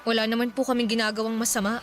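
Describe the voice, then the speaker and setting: A woman's voice: a little high, flat delivery, racing, slight background noise, close to an everyday voice. An actress playing a polite, worried young woman caring for her sick father. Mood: pleading. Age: young adult. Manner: polite, pleading.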